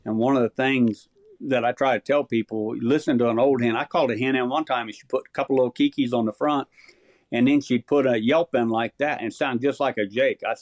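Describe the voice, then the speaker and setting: A plainspoken man talks easily about his craft in a casual podcast chat. His voice sits in a middle pitch, recorded close and dry. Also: fairly even intonation, brisk, darkish tone, no echo. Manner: easygoing, plainspoken, chuckles often. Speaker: champion turkey caller, guest.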